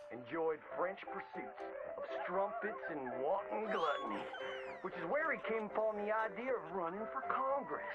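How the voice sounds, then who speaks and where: Game role: boastful old-West Southern showman-storyteller, high theatrical drawl, loud music behind. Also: dull audio. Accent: American Southern, old frontier drawl. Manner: hammy, folksy, exaggerated storyteller delivery. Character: folksy theatrical frontier showman spinning boastful tall tales. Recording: band-limited old-radio sound with prominent music.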